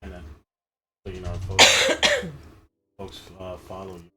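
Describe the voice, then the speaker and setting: A man's voice: low voice, completely flat delivery, takes it slowly, bright, forceful tone. A young man hosting a livestream interview, laid-back and slangy with lots of fillers. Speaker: streamer host.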